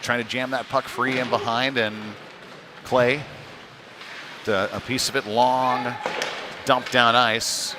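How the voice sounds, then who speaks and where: A man doing live hockey play-by-play commentary in a halting delivery with mid-sentence pauses. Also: medium pitch, level tone. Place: indoor ice rink during a game. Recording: noisy rink sound behind the voice. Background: clear venue noise.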